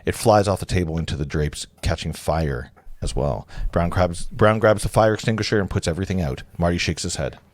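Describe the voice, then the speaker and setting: A man's voice: sits low, a little fast, no echo. A man narrating screenplay directions at a casual table read, informal, stumbling and breaking off to comment. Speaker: narrator of stage directions, host.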